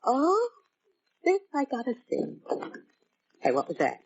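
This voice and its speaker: Casting: cocky young gangster gal, brassy slang, lively inflection, 1940s radio style, dull old recording. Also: female voice, high-pitched, unhurried, heavily acted. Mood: edgy. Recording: muffled vintage radio, faint hiss.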